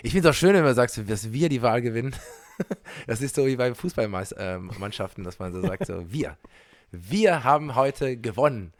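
A man's voice: medium pitch, expressive, normal speed, dry recording. Man, podcast co-host, casual chat, chatty, filler-heavy, dry sound. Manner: chatty, many fillers and restarts, laughs often. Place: home, recording remotely.

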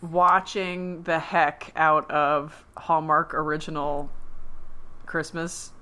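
A woman co-hosting a podcast in casual chat, her voice husky from a cold, rambling and correcting herself as she goes. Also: low voice, level tone, slow pace, sharp tone. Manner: rambling, self-correcting, laughing often. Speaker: podcast co-host. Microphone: remote call.

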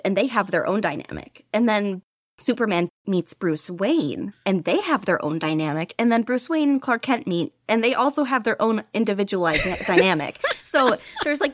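A woman's voice: mid-range voice, no room sound, studio quality. A woman hosting a casual podcast chat, talking enthusiastically with lots of fillers and laughter. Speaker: podcast host.

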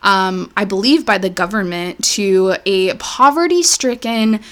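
A woman's voice: mid-range voice, unhurried. Chatty woman telling a story. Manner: relaxed, filler-heavy retelling. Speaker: podcast co-host. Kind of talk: casual storytelling chat.

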